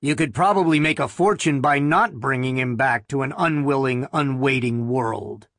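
A man voicing a gruff, cautious male technician with a blunt, sardonic manner in an audiobook story. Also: mid-range voice, moderate pace, a bit bright, dry recording, studio quality, close to an everyday voice. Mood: wry.

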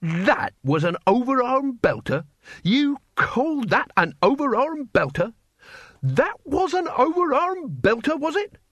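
A man's voice: sing-song, normal speed, slightly rough, dry recording, exaggerated voice. A man voicing an exasperated older male crook teaching a young thug, scolding and theatrical. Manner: scolding, theatrical, climbing high in pitch. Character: exasperated older male street-crime tutor.

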